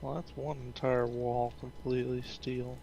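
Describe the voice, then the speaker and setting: A man's voice: medium pitch, little pitch movement, a little slow, vocal fry, mellow, a little hiss or hum. A man chatting casually with teammates while he plays a multiplayer game. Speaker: player on the stream. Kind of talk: casual gaming chat.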